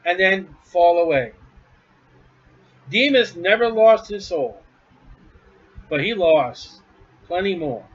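A man's voice: high voice, a bit bright, dull audio. A man preaching a sermon from home, blunt, scornful and emphatic.

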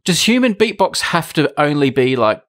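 A man's voice: fairly high pitch, sing-song, normal speed. A man chatting casually as a podcast host. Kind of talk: casual chat.

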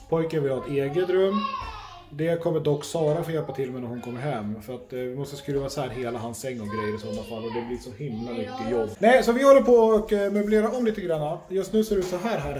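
Halting man vlogging in an echoey home. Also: a little high. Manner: informal, sweary, halting. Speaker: family vlogger. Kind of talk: casual handheld vlog chat. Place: indoors at home, rooms and kitchen. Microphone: phone at arm's length. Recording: roomy echo, faint household noise.

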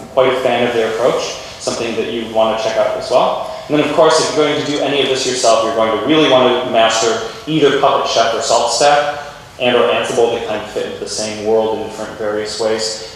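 A man giving an informal, explanatory conference keynote on technology to a lecture theatre. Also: medium pitch. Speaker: keynote speaker. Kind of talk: conference tech presentation. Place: large university lecture theatre with audience. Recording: echoey hall, distant mic, faint noise.

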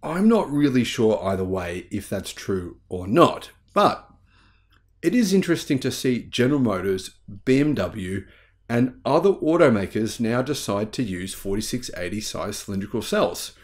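A man's voice: lowish voice, big pitch swings, moderate pace. A man in his forties hosting a news commentary video in an emphatic, conversational way. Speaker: YouTube channel host. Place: small home room, indoors.

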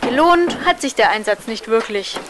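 A woman narrates a scripted newsreel report. Her voice sits fairly high, and she speaks as a reporter presenting the events. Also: lively intonation, moderate pace, noisy background.

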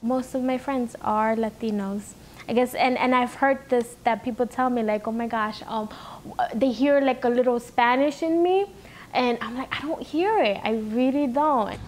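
Woman, young adult, New York accent, casual, lots of "like", bit high-pitched. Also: normal speed, a little hiss or hum. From Bangladesh. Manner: chatty, leans on "like". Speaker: interviewee. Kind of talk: casual documentary interview.

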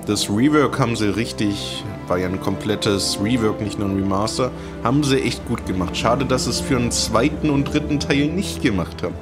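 Ein Mann kommentiert locker und mit trockenen Sprüchen ein Videospiel als Let's-Play-Host. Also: male voice, lowish voice, normal speed, hardly any pauses. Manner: chatty, wry asides while reading game menus. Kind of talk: casual gameplay commentary. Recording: talking over game music.

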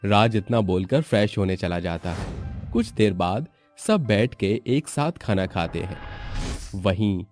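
Male story narrator, lowish lively voice, music underneath. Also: a little slow.

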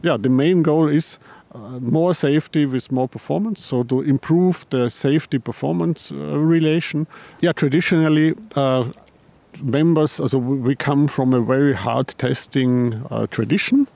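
A man who heads a paraglider company answering interview questions, halting, with frequent restarts and repeated words. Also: medium pitch, creaky, dark tone. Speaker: paraglider designer and company head.

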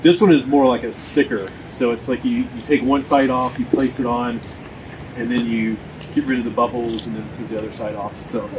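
A man's voice: medium pitch, steady tone, brisk. A man hosting a car owners' meetup, talking loosely to a group outdoors with many ums and restarts. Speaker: meetup organizer, channel host. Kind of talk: casual talk to a group outdoors. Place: snowy parking lot outdoors. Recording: outdoor video with clear background noise.

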